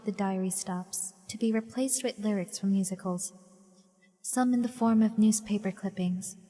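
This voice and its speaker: A woman's voice: medium pitch, dark tone. A woman streaming a video game live, reading its text aloud and chatting casually. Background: game music.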